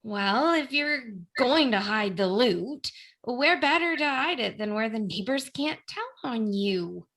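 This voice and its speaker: A nervy, wisecracking female burglar from a comic radio play, played by a woman. She bickers in a high, very animated voice and takes her time over each line. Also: slow, exaggerated voice. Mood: nervous, wisecracking.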